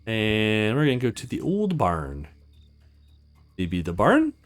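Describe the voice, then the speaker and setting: A man's voice: on the low side, huge pitch swings, slow pace. A man hosting a let's play, reading a visual novel aloud expressively and adding casual asides.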